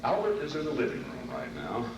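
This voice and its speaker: A man's voice: on the high side, wildly swooping pitch, brisk. A man announcing and narrating in old-time radio style from a stage. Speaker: announcer and presenter. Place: convention stage before an audience. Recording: echoey noisy hall, distant microphone.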